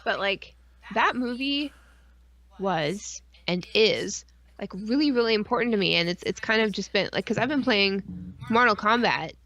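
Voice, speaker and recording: A woman co-hosting a stream in casual, rambling fan chat, excitable and full of slang and swearing. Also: medium pitch, normal speed. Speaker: podcast and stream co-host.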